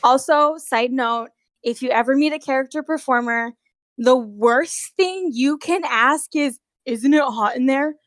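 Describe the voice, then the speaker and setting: A woman telling work stories as a guest in a casual, animated, giggly chat with some swearing, on an amateur recording. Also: high-pitched, measured pace, dry recording, clean studio sound. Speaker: guest, costumed theme park character performer. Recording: amateur recording with bad audio.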